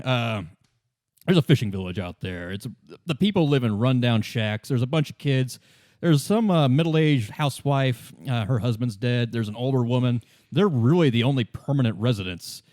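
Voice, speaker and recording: A man voicing a jovial, scheming, heavyset union boss with effusive flattery and rambling salesmanship in a tabletop roleplay. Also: mid-range voice, moderate pace, dry recording, clean studio sound, close to an everyday voice. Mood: slick, pitching. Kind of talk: improvised tabletop roleplay acting.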